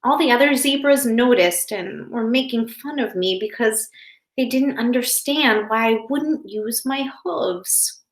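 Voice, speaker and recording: A misunderstood little zebra child, read by a woman recounts things plaintively and simply. The voice is on the high side, in storybook read-aloud style. The room around it is noticeably echoey. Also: a little slow. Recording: roomy, echoey home office.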